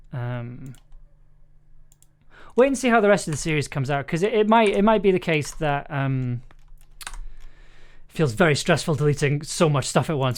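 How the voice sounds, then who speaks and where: A man giving casual, hesitant livestream commentary as he edits audio, thinking aloud as a producer. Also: on the high side. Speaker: streamer and audio drama producer.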